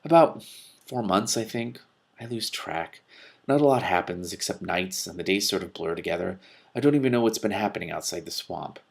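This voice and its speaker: A man's voice: sits low, lively intonation, moderate pace, vocal fry, breathy edge, exaggerated voice. A man voicing a hot-tempered male fire witch in a storybook, vehement, emphatic and aggrieved. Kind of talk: storybook read aloud.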